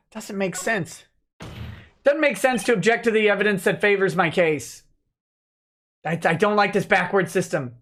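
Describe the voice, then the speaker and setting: A man's voice: sits high, normal speed, pauses often, fairly bright tone. A man giving casual commentary as he streams a video game.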